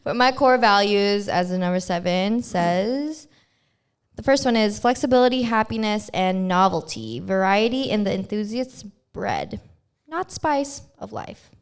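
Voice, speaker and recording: A woman's voice: medium pitch, animated, a little slow, smooth. Giggly young woman podcast co-host. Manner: giggly, chatty, laughs often. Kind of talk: casual chat, reading test results aloud.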